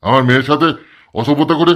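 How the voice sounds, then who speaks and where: A man's voice: fairly high pitch, fast talker, natural voice. A man voicing a protective, short-tempered village father in a comedy cartoon, with a West Bengal Bengali accent. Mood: furious. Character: gruff, protective village father, quick to foul-mouthed rage. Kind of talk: amateur comedy cartoon dubbing.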